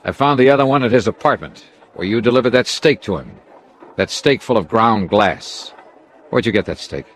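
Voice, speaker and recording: An actor playing a hard-boiled, dogged American insurance investigator in a 1950s radio detective drama. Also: male voice, fairly low pitch, expressive, normal speed. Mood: pressing, confrontational. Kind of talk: 1950s radio detective drama, first-person narration and dialogue.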